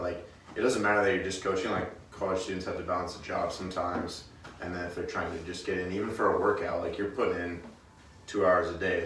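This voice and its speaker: A man's voice: low-pitched, a bit bright. A man hosting a casual interview, talking conversationally and laying out his points. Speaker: podcast host, gym coach. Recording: echoey room, distant mic, faint noise.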